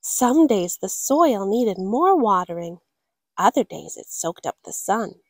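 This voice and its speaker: A woman reading a picture book aloud for children, as a story time host, with deliberate, dramatic phrasing. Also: medium pitch, very animated, no echo.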